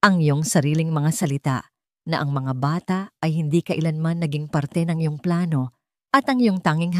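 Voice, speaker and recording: A woman voicing a calm, authoritative female judge in an audio story, measured, formal and firm. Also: low voice, no echo, studio quality, natural voice. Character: calm, authoritative female family-court judge delivering a verdict.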